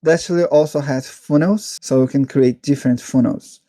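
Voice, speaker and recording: A man with a medium-pitched, relaxed and mellow voice presents a casual screen-share review. He moves through features in a matter-of-fact, step-by-step way. The sound is close and dry, with very little room in it. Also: moderate pace, no room sound. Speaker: software reviewer, channel host.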